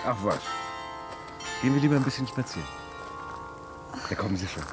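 Actor as a cocky, quick-talking young charmer. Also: male voice, mid-range voice, expressive, music in the background, natural voice. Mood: teasing. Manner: teasing, insistent, self-assured. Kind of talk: radio drama acting.

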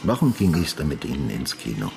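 An actor playing an older retired homicide detective in a German radio drama, questioning in a measured, matter-of-fact, insistent way. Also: male voice, extremely low pitch, big pitch swings, vocal fry, heavily acted. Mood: probing, calm. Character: retired male homicide detective, calm, persistent, probing.

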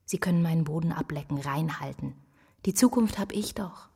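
An actress playing a defiant, imperious sixteen-year-old girl in a radio drama, with a breathy, husky voice. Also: low-pitched, moderate pace, darkish tone, exaggerated voice. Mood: haughty, defiant. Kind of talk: intimate modern German radio drama acting.

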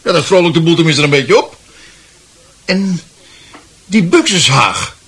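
Cheeky freeloader, fairly high-voiced. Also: male voice, lively intonation, measured pace, fairly bright tone, husky, heavily acted. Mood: mocking, opinionated. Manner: brash, drawling, opinionated. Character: cheeky, freeloading male newcomer, blunt and over-familiar. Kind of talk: Dutch radio drama acting.

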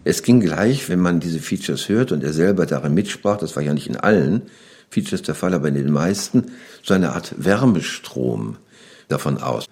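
A man's voice: a bass voice, animated, normal speed, darkish tone, breathy edge. A man recollecting spontaneously as an interviewee in a documentary.

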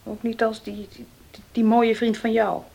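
A defiant young working woman in a radio drama. Her voice sits somewhat high. She answers in a tense, curt manner and keeps dodging the question. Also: flat delivery, measured pace, creaky, mellow, slightly rough, full character voice. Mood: defiant, evasive. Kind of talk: Dutch radio play acting.

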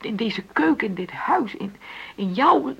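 An actress in a radio drama playing an unhappy middle-aged wife who feels trapped, speaking openly and haltingly in a husky voice. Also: female voice, a little high, expressive, a bit bright, highs cut off, exaggerated voice. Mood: despairing. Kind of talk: naturalistic radio drama dialogue.

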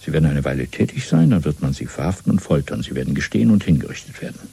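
Husky, deep, commanding party official. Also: male voice, expressive, mellow, heavily acted. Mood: grave, stern. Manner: measured, didactic, coolly authoritative. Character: calm, commanding senior party official, secretly conspiratorial. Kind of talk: 1970s German radio play acting.

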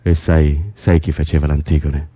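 An actor playing a bossy, coaxing prisoner in a naturalistic radio drama, insistent and teasing, often repeating himself. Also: male voice, a bass voice, little pitch movement, normal speed, dark tone. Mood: excited, nostalgic. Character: bossy, coaxing, excitable male prisoner rehearsing a play.

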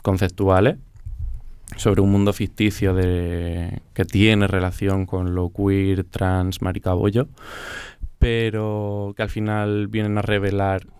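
Clear-voiced young man. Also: deep voice, level tone, slow pace, a little mellow, dry recording. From Murcia, Spain. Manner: chatty, laughs often, many fillers. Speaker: guest choreographer. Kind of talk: casual interview talk.

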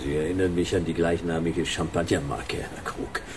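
Radio noir detective male actor: low voice, world-weary, sardonic, faint noise behind. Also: fairly even intonation, normal speed, lightly acted. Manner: dry, sardonic. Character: wisecracking world-weary private detective, grumbling, adult man. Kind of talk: radio crime drama acting.

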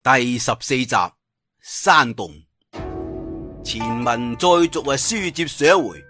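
A man's voice: mid-range voice, animated, slow, sharp tone, faint music behind. A man with a Cantonese accent telling a martial-arts tale in a dramatic oral storytelling style, with asides to listeners. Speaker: audiobook storyteller.

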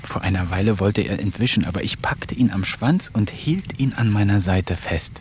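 Calm man narrating a radio diary. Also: on the low side, normal speed, slight background noise. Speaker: narrator and lead of a radio play. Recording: studio radio play with faint music.

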